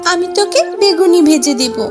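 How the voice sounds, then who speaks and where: Casting: woman to play caring animal mother, notably high voice, scolds then coaxes, kids' cartoon over clear music. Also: expressive, fairly quick. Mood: coaxing. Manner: chiding, coaxing. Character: fussing, caring animal mother of a young girl. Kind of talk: children's cartoon voice acting.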